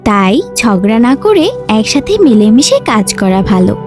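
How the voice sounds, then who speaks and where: A woman's voice: medium pitch, big pitch swings, normal speed, close to an everyday voice. A woman playing an instructive mother who narrates a children's cartoon story expressively. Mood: storytelling. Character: instructive mother telling a bedtime tale. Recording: music under the voice.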